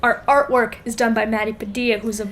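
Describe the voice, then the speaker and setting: A woman's voice: a little high, flat delivery, fast, fairly bright tone. A young woman co-hosting a podcast in casual comedic chat, wry and exclamatory, often laughing through her words. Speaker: podcast co-host.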